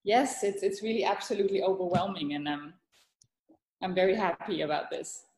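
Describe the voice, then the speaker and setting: A woman from Thailand, a guest author speaking in a podcast interview, thoughtful and halting with many fillers and restarts. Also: on the low side, a little mellow, echoey room, rough recording.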